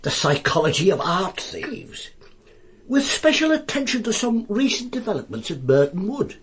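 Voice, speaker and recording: Husky, wry police inspector, swooping delivery. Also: male voice, high voice, big pitch swings, normal speed, fairly bright tone, full character voice. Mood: wry, musing. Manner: dry, pompous, quote-laden turns of phrase. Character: wry, bookish, world-weary male police detective inspector. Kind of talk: radio drama acting.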